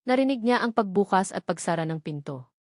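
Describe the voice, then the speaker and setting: Female narrator, Filipino accent, dramatic romance storytelling, medium pitch, close dry recording. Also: expressive, a little fast, no echo. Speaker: audiobook narrator.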